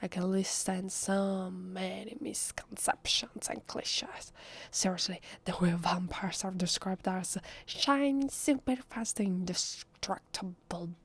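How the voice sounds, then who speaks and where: Girl doing a flustered lady vampire pretending to be human, italian accent, breathy and slow, soft asmr roleplay. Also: medium pitch, heavily acted. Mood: exasperated. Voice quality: soft, husky, breathy, half-whispered. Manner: hesitant, slow, drawn-out. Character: evasive, flustered female vampire posing as human.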